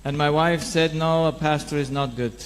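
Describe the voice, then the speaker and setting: A man's voice: a little high, fairly even intonation. Man preaching in a reverberant hall. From Romania. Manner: halting, non-native phrasing. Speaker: guest speaker, doctor and lay preacher. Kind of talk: religious talk delivered in short phrases for an interpreter. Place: large church hall.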